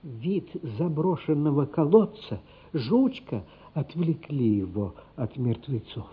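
A man narrating a literary radio play in a measured, suspenseful, dramatic storytelling manner. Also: on the high side, very animated, breathy edge. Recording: dull, band-limited radio play recording. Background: music.

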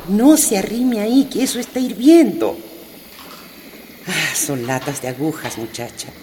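Woman doing kind old auntie in a radio play, low and unhurried, bit scolding, background noise. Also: mellow, close to an everyday voice. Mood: gently scolding. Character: kindly, firm old village midwife aunt, slow-spoken storyteller. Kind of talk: radio drama acting.

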